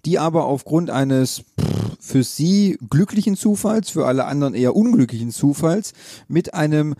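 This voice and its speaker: A man's voice: fairly high pitch, expressive, normal speed, mellow, dry recording. Dry-voiced man rambling through a recap. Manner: rambling, self-correcting retelling. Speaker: podcast co-host reviewing series. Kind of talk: casual chat.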